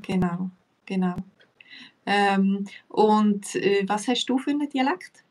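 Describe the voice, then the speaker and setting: A woman's voice: medium pitch, slow pace, distant mic. Chatty Swiss German woman host, off-mic. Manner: relaxed, chatty, many fillers, laughs often. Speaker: language teacher and host. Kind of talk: casual live chat.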